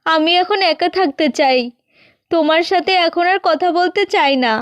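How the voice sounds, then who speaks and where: A woman's voice: very high voice, moderate pace, no echo. A woman voicing a bitter, demanding young wife in a cartoon, indignant and reproachful. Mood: indignant, regretful. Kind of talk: scripted cartoon dubbing.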